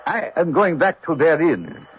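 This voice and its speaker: High-pitched, haughty German officer. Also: male voice, sing-song, measured pace, husky, full character voice. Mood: confiding. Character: imperious, haughty German SS officer, friendly to comrades. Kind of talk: old-time radio drama acting. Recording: muffled radio drama recording, faint noise.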